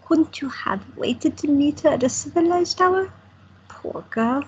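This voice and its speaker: A woman's voice: sits high, lively intonation, unhurried, a little mellow, highs cut off. An actress playing an elderly grandmother in an audio drama, with dry, affectionate teasing. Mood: chiding. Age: older. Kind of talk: amateur audio drama acting.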